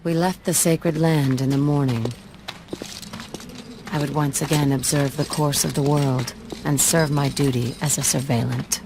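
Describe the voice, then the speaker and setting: A dutiful watcher telling the close of a fantasy game. She is low-voiced, slow and reflective, with clear noise behind her. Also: fairly even intonation. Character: composed, dutiful female watcher narrating an epic. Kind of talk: scripted video game cutscene narration.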